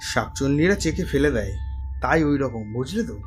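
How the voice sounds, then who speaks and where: A man's voice: mid-range voice, animated, normal speed, slightly rough, faint music behind. A man voicing a teasing adult companion who makes joking asides.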